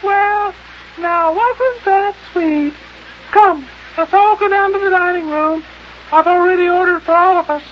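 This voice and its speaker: A man's voice: very high voice, measured pace. A man voicing a gushing, lovesick widow lady with affected baby talk in a 1950s children's radio serial. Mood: coquettish. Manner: cooing, affected, baby-talking. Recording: dull, noisy old radio recording.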